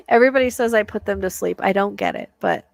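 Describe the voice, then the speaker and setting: A woman's voice: mid-range voice, brisk, vocal fry, no echo. A woman hosting a casual live chat as a psychic medium, with a soothing voice and a chatty, hesitant way of talking full of fillers.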